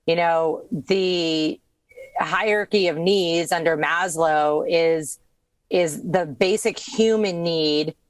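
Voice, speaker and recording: A woman's voice: on the low side, dry recording. Chatty woman hosting a podcast. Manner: chatty, enthusiastic, thinks aloud with fillers. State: losing her voice. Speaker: podcast host. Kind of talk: casual podcast chat.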